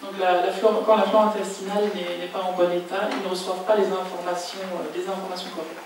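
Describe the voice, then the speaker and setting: A woman interprets a lecture phrase by phrase, hesitating often and correcting herself. Her delivery is flat, and she is heard from a distance in a big reverberant hall with constant room noise behind. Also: on the low side, fast. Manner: hesitant, many fillers and self-corrections. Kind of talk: consecutive interpreting. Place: lecture hall at a business school. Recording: echoey lecture hall from far off.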